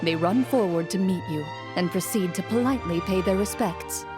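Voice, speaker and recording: A woman playing a game master in a video game, narrating a fantasy adventure in a measured, theatrical way. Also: fairly low pitch, over music, natural voice. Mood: measured storytelling. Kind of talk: scripted second-person storytelling in a video game.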